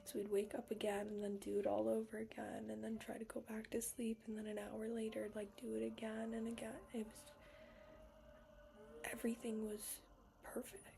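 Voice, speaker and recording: A young woman telling a personal story in a hushed whisper, frank and full of swearing. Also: on the high side, completely flat delivery, normal speed, gravelly, slight background noise.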